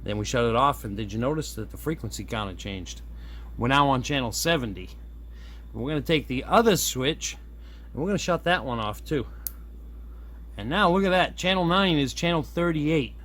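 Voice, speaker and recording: A man's voice: mid-range voice, normal speed. A man giving a casual hands-on demo, thinking aloud in an easygoing way as he presents his hobby project. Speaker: hobbyist presenter showing a radio modification. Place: indoors at a workbench. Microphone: camera on a tripod nearby. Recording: steady background noise, room ambience.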